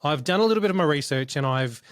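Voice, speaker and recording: A man hosting a podcast who chats casually, calls his guest "mate" and keeps the talk going with quick asides. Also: fairly high pitch, animated, fairly bright tone. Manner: friendly, says "mate", short encouraging interjections. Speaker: podcast host.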